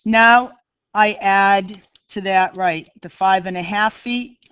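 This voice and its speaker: A woman's voice: medium pitch, slow pace, clear, resonant tone, no echo, muffled recording. A middle-aged woman with a Boston accent, teaching a math class in a chatty, self-deprecating way and talking straight to her students. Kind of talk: classroom lecture.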